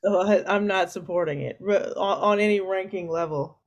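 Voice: mid-range voice, normal speed, muffled recording. A guest talks casually and bluntly about a film. The tone is frank and direct, with wry throwaway lines. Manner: blunt and frank, dry asides. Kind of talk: casual conversation.

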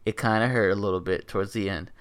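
A man's voice: lowish voice, steady tone, quick pace, a bit bright. A young man hosting a casual podcast, laid-back and swearing freely. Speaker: podcast host.

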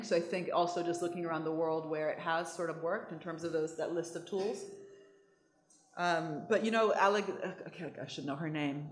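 Low-voiced woman with an American accent. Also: echoey room. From Italy. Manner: fast, emphatic, restarts and asides. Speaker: economics speaker. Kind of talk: lively talk with audience questions.

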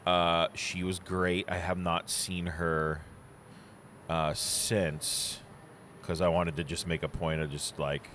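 A man's voice: deep voice, little pitch movement, slow, a bit bright, slight background noise. A man co-hosting a podcast in casual chat, easygoing and a little jokey, rambling with fillers. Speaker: podcast co-host.

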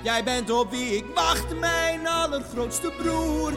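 Wistful little sister in family musical audio play, very high voice, sung slowly over instruments. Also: female voice, music in the background. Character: young sister longing for her big brother. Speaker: singer in a family music theatre show. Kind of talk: theatrical singing in a musical radio play.

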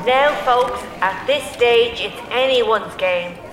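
An actress with an Irish accent playing a rousing bingo caller in an audio drama, projecting in a singsong announcing style. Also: female voice, on the high side, slow pace, reverberant, background noise.

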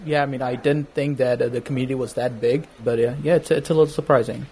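A man's voice: mid-range voice, fast, breathy edge. A young man from the United States talking casually and emphatically, with filler words, arguing his point of view. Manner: animated, emphatic, laughs while arguing. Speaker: interviewee, barbershop customer. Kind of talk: casual conversation.

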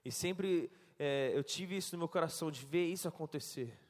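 A man interpreting a sermon live and reading scripture aloud, halting and correcting himself as he goes. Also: a little high. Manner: halting, self-correcting, laughs at slips. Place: church hall. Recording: long church reverb.

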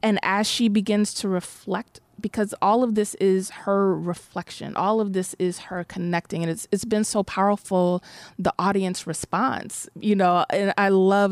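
Soft-spoken reflective woman, low voice. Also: no room sound. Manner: reflective, flowing, many fillers and restarts. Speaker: director of a one-woman show. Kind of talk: conversational interview answer.

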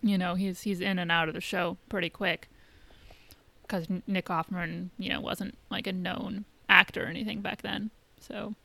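A woman co-hosting a podcast, explaining a TV show in casual chat, haltingly, repeating words and saying "you know". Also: fairly low pitch, steady tone, gravelly, sharp tone, no room sound. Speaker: podcast co-host.

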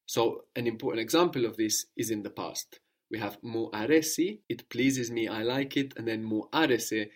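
Patient male language teacher. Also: lowish voice. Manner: patient, explanatory, prompting with questions. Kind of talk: audio language lesson.

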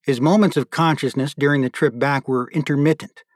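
A man's voice: medium pitch, fairly even intonation, fairly quick, dry recording, studio quality. A man giving a scripted narration as an audiobook narrator and podcast host.

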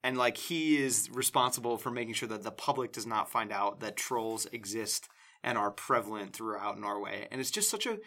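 A man's voice: lowish voice, expressive, moderate pace, pushed and bright. A man co-hosting a podcast, chatting casually and enthusiastically, rambling with lots of fillers. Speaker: podcast co-host. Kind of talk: casual chat.

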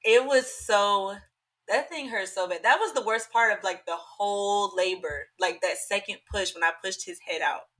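A young woman with a slightly hoarse voice, telling a personal story in chatty, animated talk full of fillers. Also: mid-range voice, normal speed. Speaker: podcast guest. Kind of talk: casual storytelling.

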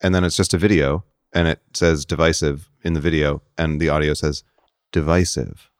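A man with a very low voice hosts a casual podcast. He repeats words as he works toward his point. The sound is dry, with very little room in it. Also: steady tone, moderate pace, dry recording. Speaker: podcast host.

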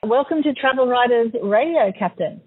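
A woman hosting a travel radio show, asking an interviewee questions in a friendly, measured way. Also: a little high, lively intonation, husky, phone-quality sound.

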